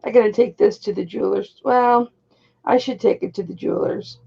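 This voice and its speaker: Hoarse, gravelly woman vlogger. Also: medium pitch, a little fast, warm tone, muffled recording. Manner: chatty, exclaims when frustrated. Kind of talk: casual chat while testing stones.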